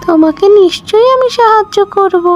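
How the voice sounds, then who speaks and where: A woman's voice: extremely high pitch, animated, brisk, slight background noise. A woman voicing a big-hearted, reassuring fairy in a children's cartoon. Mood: kindly reassuring.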